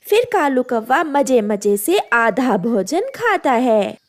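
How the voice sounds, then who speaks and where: A woman narrator tells a scripted children's tale. Her voice is high and animated, moving up and down freely through each sentence. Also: slow. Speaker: story narrator.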